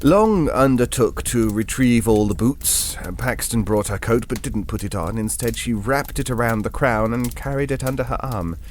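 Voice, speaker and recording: Actor doing bookish gent telling a ghost story, mid voice, wry n hesitant, earnest, faint noise behind. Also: male voice, mid-range voice, normal speed, hardly any pauses, close to an everyday voice. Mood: reflective, uneasy. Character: earnest, bookish gentleman recounting an eerie tale. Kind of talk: ghost story narration in audio drama.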